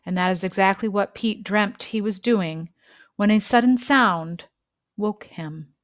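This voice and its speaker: A woman reads a children's story aloud in an expressive narrating style, phrasing deliberately and leaving dramatic pauses. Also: medium pitch, no room sound, studio quality. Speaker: storybook reader.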